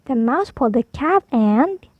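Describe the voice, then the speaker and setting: A woman storyteller reading a picture book aloud for preschoolers, animated, drawing out key words for emphasis. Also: high-pitched, unhurried, no room sound, phone-quality sound. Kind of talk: expressive read-aloud for preschoolers.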